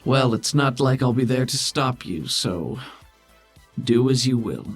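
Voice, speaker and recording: Man playing a husky, imperious political schemer. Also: mid-range voice, lively intonation, faint music behind. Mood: calculating. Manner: formal, measured, imperious. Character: Thalassica, cool, scheming, condescending hologram political schemer.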